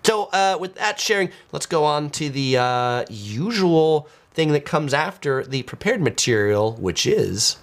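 A man's voice: a little high, animated, unhurried, hardly any pauses, a little hiss or hum. A man in his forties chatting casually as a video host, reading out viewer comments and replying as if talking to one person. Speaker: host of a travel video channel. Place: small home studio, indoors.

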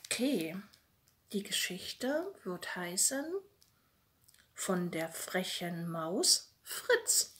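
A middle-aged woman gently reading a bedtime story for children, speaking softly and directly to her little listeners. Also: lowish voice, big pitch swings, drawn-out words, slight background noise. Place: small room at home. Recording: roomy, echoey home recording.

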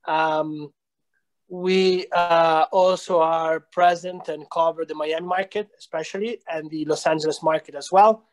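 A man's voice: fairly high pitch, steady tone, measured pace. A man in his thirties with an Italian accent, talking hesitantly with many fillers in a video call interview. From Italy. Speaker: real estate company founder. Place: small room indoors.

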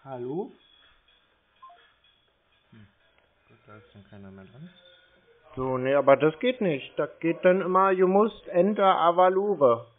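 High-voiced, muddled man on a tinny phone line. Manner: slow, muddled, stubbornly complaining. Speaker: call recipient stringing scammers along. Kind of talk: prank phone call with scammers.

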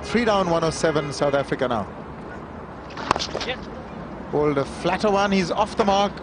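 A man's voice: on the high side, moderate pace, over music. A male cricket commentator describing play and replays on live TV. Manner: exclaims at wickets, then explains calmly. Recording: loud crowd and noise, outdoor broadcast. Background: loud crowd and ground noise.

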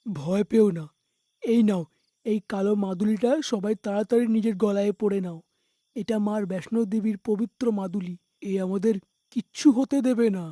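A performer voicing a calm, all-knowing holy man from a cave in a cartoon, reassuring and explanatory. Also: medium pitch, moderate pace, no echo, close to an everyday voice. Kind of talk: animated story dialogue.

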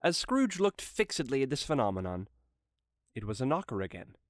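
A man's voice: medium pitch, big pitch swings, fairly quick, no echo, studio quality. A man giving a dramatic scripted narration of a classic story.

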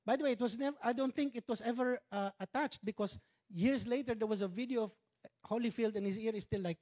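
A man's voice: very high-pitched, fast talker, darkish tone. A Filipino man in his fifties preaching a sermon on a church stage, conversational, with joking Tagalog asides, speaking into a handheld mic. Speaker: pastor. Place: church stage with dark curtains.

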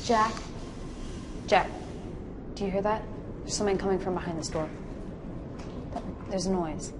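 A woman's voice: mid-range voice, a little slow, background noise, close to an everyday voice. A woman playing an anxious young ghost-hunting crew member, nervous and naturalistic, in a video game cutscene. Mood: uneasy. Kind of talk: live-action video game cutscene acting.

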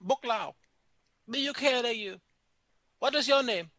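A man's voice: very high-pitched, normal speed, no echo, pitched above the performer's own voice. Man doing a furious broken-English restaurant woman. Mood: insistent. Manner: broken English, shouting insults, stammering. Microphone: phone call.

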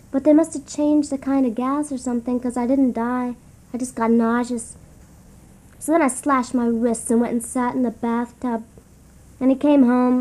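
A woman's voice: a little high, dark tone, close to an everyday voice. An actress playing a meek, desperate girlfriend in a radio satire, confessing in an artless, matter-of-fact way. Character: meek, desperate doormat girlfriend sharing at seminar. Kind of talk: satirical radio comedy acting.

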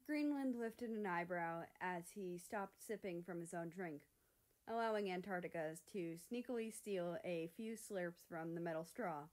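A woman's voice: on the low side, measured pace, a little mellow. A woman reading scripted fiction aloud as a story narrator. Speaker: fan fiction reader.

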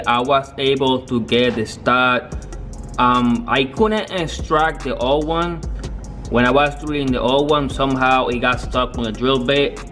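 A man's voice: mid-range voice, a bit bright. Casual young man in a garage. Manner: casual, halting, with fillers and restarts. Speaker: car builder. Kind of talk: casual workshop vlog. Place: garage workshop, indoors.

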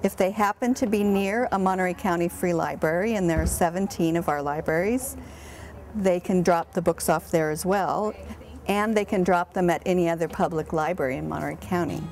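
A woman's voice: fairly low pitch, fairly quick, a little mellow. A woman explaining plainly how to return library books. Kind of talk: interview.

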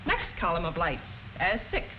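A woman narrating as a prim, patient telephone instructor in a 1940s educational film, measured and didactic, on an old muffled soundtrack. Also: lowish voice, sing-song, slight background noise. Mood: patient, instructive.

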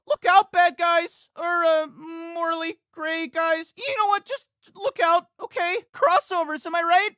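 An anxious teenage boy for a video game, his voice pitched very high and bright, and he keeps stumbling over his words in a jittery stammer. It sounds like a dry studio recording with no room around it. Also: no room sound, exaggerated voice. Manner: stammers and repeats words, cracks into yelps. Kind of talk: game voice lines. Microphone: studio microphone.

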